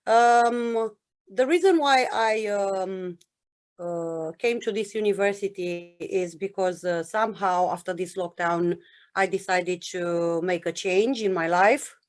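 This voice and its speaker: A woman's voice: mid-range voice, slow. A woman from Romania, a student and former teacher, introducing herself hesitantly with many "um" fillers. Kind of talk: self-introduction.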